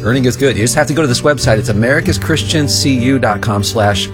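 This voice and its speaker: A man's voice: mid-range voice, quick pace, music in the background. A man reading a sponsor ad in a casual, chatty way, adding jokey personal asides. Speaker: radio host reading a sponsor ad. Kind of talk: casual ad read.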